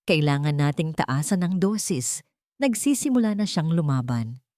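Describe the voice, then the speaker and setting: A woman's voice: low voice, expressive, moderate pace, warm tone, no echo, studio quality. A woman putting on a honey-voiced scheming wife. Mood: hushed scheming. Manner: falsely tender, honeyed. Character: scheming sweet-talking woman, a cold stepmother.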